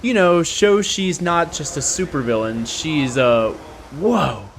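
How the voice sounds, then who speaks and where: A man a vain, bossy television producer in an audio comedy. His pitch swoops theatrically as he smugly gives orders, with faint ambient noise around him. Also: lively intonation, slow pace. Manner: bossy, smug, showy. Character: pushy, vain, lecherous male TV broadcast producer. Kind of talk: comedic audio drama acting.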